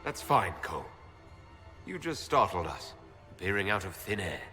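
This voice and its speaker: An actor playing a firm, measured leader of an inquisition in a fantasy game. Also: male voice, sits low, big pitch swings, pushed and bright, faint music behind, heavily acted. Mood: calm, questioning. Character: resolute male leader of an inquisition, firm and diplomatic. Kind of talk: dramatic fantasy game acting. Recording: game score behind dialogue.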